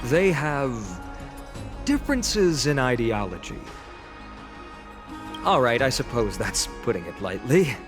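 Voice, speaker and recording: An actor playing a kind, earnest young hero with a gentle, hesitant, polite manner in a video game. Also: male voice, mid-range voice, big pitch swings, slow, long pauses, over music. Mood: gentle concern.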